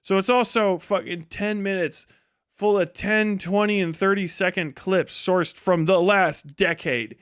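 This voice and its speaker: A man's voice: high voice, fairly even intonation, a little slow, fairly bright tone, clean tone, no room sound, clean studio sound. A man chatting casually on a livestream about his work, rambling and swearing heavily in a sardonic, exasperated way. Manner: heavily profane. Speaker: livestreamer and video creator giving a work update.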